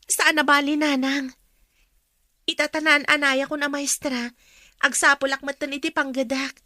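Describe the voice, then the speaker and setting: Bright, high-voiced earnest young woman. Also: level tone, moderate pace, no echo, clean studio sound. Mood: hopeful, dutiful. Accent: northern Philippine. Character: earnest, dutiful young woman graduate, newly qualified teacher. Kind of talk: radio drama acting.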